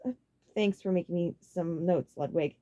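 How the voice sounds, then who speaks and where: A woman's voice: lowish voice, moderate pace, dark tone, natural voice. A woman playing a smug, boastful young man in an audiobook story, breezy and a bit dim. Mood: hesitant.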